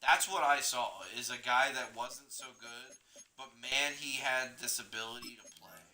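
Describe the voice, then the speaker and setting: A man's voice: mid-range voice, steady tone, measured pace, pushed and bright, echoey room. A man with a Midwestern American accent giving rambling, boastful, casual commentary as he live-streams a football video game. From Missouri, United States.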